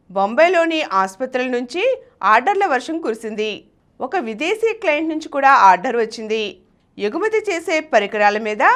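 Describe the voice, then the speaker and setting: A woman with a Telugu accent presenting scripted biographical narration in an expressive, emphatic storytelling manner. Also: a little high. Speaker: channel presenter reading a biography.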